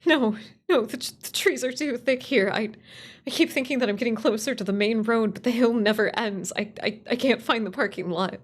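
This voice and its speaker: A woman's voice: on the high side, moderate pace, natural voice. Woman acting a panicked, stammering woman caller. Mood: frantic, lost. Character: terrified lone woman camper calling for help. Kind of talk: radio play horror call-in.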